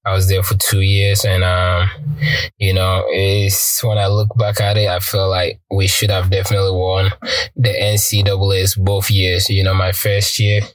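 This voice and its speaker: Young man from Ghana, low relaxed voice. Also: dry recording, rough recording. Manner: relaxed, halting, many ums and restarts. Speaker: guest, professional soccer player. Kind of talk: casual interview.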